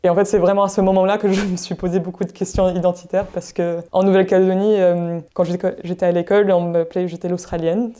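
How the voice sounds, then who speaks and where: A woman's voice: on the low side, little pitch movement, fast, few pauses, warm tone. A woman in her twenties from New Caledonia, raised speaking English at home, telling her own story in a casual, personal way as a podcast guest. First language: English, spoken at home alongside French. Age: 20s. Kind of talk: personal testimony, casual chat.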